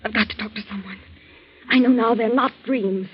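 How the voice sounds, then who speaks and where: An actress playing a fearful, suspicious wealthy woman in a 1940s radio drama, dramatic and breathy, on a muffled old recording. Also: a little high, moderate pace.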